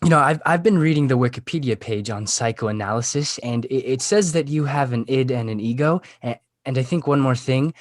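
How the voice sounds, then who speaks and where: A man playing an earnest, lovesick sixteen-year-old boy in a comedy table read, halting and pleading. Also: medium pitch, no room sound, studio quality, close to an everyday voice. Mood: earnest, hopeful.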